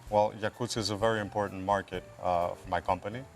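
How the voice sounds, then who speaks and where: Low-voiced man, American accent, relaxed. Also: brisk, soft background music. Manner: relaxed, hesitant with frequent uh fillers. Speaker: company director, studio guest. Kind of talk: interview answers.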